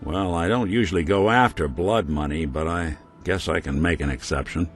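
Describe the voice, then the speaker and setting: An actor playing a world-weary male synth detective in a game, talking with a gruff, laconic noir drawl. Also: low voice, normal speed, soft background music, close to an everyday voice. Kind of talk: game companion dialogue.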